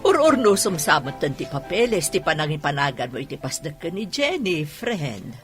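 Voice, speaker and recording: A woman's voice: deep voice, big pitch swings, moderate pace, faint music behind. A woman playing a concerned, questioning close friend in an Ilocano radio drama.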